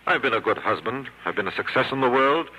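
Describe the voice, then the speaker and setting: A man's voice: medium pitch, animated, fast talker, pushed and bright. An actor playing a proud, snobbish middle-aged father in a 1950s radio drama, speaking in a pompous, formal way. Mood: hurt, bewildered. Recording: noisy old radio broadcast.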